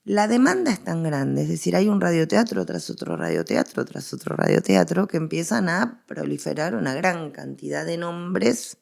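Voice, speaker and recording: A woman's voice: lowish voice, very animated, moderate pace, vocal fry, few pauses, a little mellow. A woman with an Argentine accent explaining things as an interviewed expert, emphatic and given to repetition. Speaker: interviewed history and literature expert.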